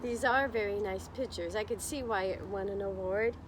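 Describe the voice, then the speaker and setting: A woman's voice: medium pitch, normal speed, background noise. A woman reading a picture book aloud to young children, expressively, with encouraging, chatty explanations along the way. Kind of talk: slow children's read-aloud with chatty asides to listeners.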